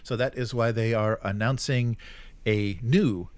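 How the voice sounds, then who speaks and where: A man with a fairly low voice hosts a casual news discussion. He reads announcements and adds comments in a halting, agreeable manner. The sound is dry and close. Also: fairly even intonation, no room sound. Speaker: show host.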